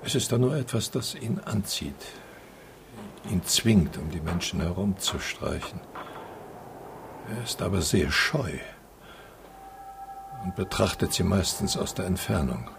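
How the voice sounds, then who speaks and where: An actor playing a pensive, melancholy film director in a German radio drama, musing earnestly. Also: male voice, low voice, lively intonation, measured pace, vocal fry, pauses often, noisy background, full character voice.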